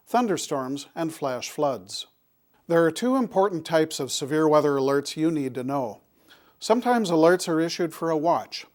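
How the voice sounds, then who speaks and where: A man presenting scripted public safety information in a deliberate, instructional manner. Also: fairly high pitch, darkish tone.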